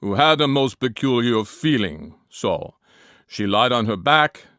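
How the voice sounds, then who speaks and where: A man voicing a pompous, fussy German consulate official with a German accent and a formal, deadpan, repetitive manner. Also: medium pitch, a little slow, no room sound, studio quality. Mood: earnest, formal.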